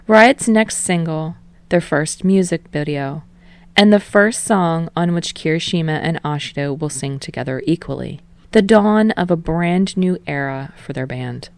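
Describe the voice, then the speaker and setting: A woman's voice: sits low, measured pace, dry recording. A woman reading fiction aloud as scripted audiobook narration.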